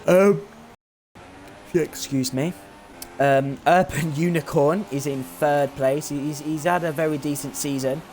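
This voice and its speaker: A man's voice: fairly high pitch, fairly even intonation, unhurried, a little mellow, faint music behind. A man commentating on an online racing league, chatting casually with many fillers and self-corrections. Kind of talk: live race commentary chat.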